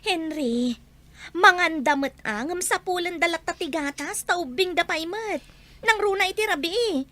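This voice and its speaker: A woman's voice: very high voice, moderate pace, pushed and bright. A woman acting a worried, pleading young wife and mother in an Ilocano audio drama, with an Ilocano accent.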